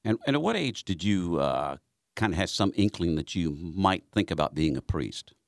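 Folksy radio host, very low voice, close dry studio mic. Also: male voice, sing-song, normal speed, creaky, no echo. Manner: folksy, chatty with frequent fillers. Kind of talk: radio interview. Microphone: studio microphone.

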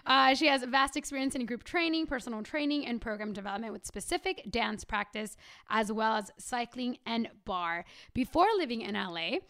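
An upbeat woman co-hosting a relaxed podcast conversation, a little high in pitch. She laughs easily and leans on casual fillers as she introduces her guest. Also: moderate pace. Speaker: podcast co-host. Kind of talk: casual chat.

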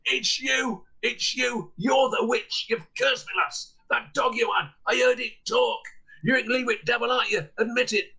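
A husky-voiced man, playing a rough, injured peddler who shouts wild accusations. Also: on the high side, animated, a little fast, hardly any pauses, full character voice, rough recording. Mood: accusing, shouting. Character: angry injured male peddler, middle-aged, gruff.

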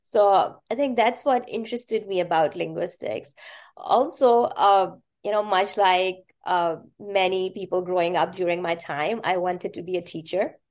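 Author guest, woman from Pakistan, native Urdu speaker, lowish voice, measured. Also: a little mellow. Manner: thoughtful, measured, with hesitations. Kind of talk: interview answers.

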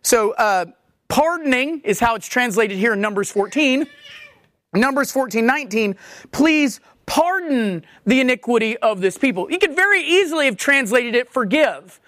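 Casting: teaching preacher, medium pitch, dry close-miked sound, repeats and rephrases key words, restarts often. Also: lively intonation, moderate pace, fairly bright tone, dry recording. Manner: explains word meanings with repeated restatements and frequent restarts. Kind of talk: expository sermon on scripture.

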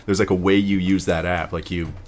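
Deep-voiced man hosting casual chat. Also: little pitch movement, a little fast, darkish tone. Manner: loose, joking, swears casually. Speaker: podcast host.

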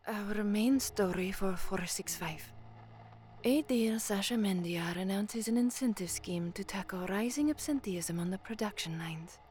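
A woman's voice: medium pitch, unhurried, darkish tone, a little hiss or hum, natural voice. A woman playing a formal news broadcaster reading an official bulletin in a science fiction audio drama. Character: formal news broadcaster in a grim sci-fi world.

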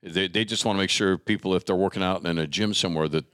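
A man's voice: deep voice, fast, a bit bright, clean tone, dry recording, studio quality. An American man hosting a podcast in a casual, conversational interview style. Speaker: podcast host.

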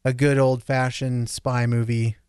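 A man with a mid-pitched voice talks on a casual podcast panel. His phrasing is informal and slangy, with an enthusiastic bent, and the sound is dry and close. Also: little pitch movement, unhurried, a little mellow, clear, resonant tone, no room sound.

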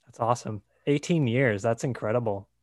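A man's voice: medium pitch, brisk, dry recording, studio quality. A man hosting a podcast, chatting casually and rambling a little, with lots of fillers. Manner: relaxed, rambling, many fillers like "like" and "uh". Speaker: podcast host.

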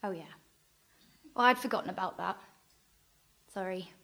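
Bright-voiced, sardonic action heroine played by an actress. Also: female voice, medium pitch, normal speed, close to an everyday voice. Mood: apologetic. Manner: wry, mocking, punning. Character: sardonic fictional action heroine, young woman, brash. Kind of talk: radio play acting.

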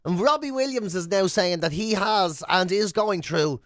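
A man's voice: sits high, expressive, normal speed, no echo, clean studio sound. A man, bantering casually and comically as a radio host.

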